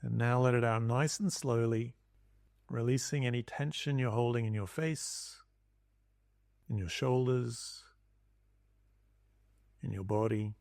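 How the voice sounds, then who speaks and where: A man leading a guided body scan meditation as a teacher, speaking in calm, gentle instructions with long pauses. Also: medium pitch, gravelly, no echo, clean studio sound.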